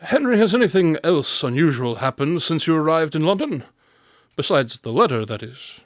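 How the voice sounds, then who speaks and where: Male actor as cool-headed consulting detective, radio drama: higher register, very dry sound, precise and analytical, quietly sure of himself. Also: very animated, dry recording. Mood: analytical, assured. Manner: measured, analytical, assured. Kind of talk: audio drama acting.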